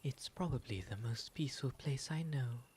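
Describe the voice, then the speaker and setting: A man voicing a gentle, courteous owl demon gentleman in an ASMR roleplay, breathy and often whispering, with polite, formal, soothing phrasing. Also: medium pitch, normal speed, close to an everyday voice. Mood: serene, soothing. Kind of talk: ASMR roleplay, intimate slow delivery. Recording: faint steady background ambience noise.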